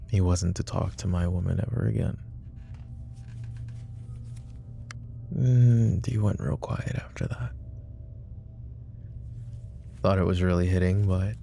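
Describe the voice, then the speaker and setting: A man playing a possessive, protective mafia boss in an ASMR roleplay drama, with a deep, gravelly voice and a low, measured, quietly menacing way of talking. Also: a little hiss or hum. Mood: brooding.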